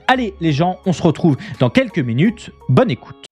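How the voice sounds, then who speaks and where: Higher-voiced man hosting a show. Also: sing-song, moderate pace, mellow, soft background music. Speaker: host of a pop culture morning show. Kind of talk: radio-style presenting to a live stream audience.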